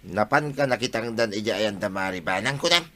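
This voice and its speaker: An actor playing a village husband in an Ilocano comedy radio drama, with an Ilocano accent. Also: male voice, lowish voice, animated, fairly quick, fairly bright tone, slight background noise. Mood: questioning.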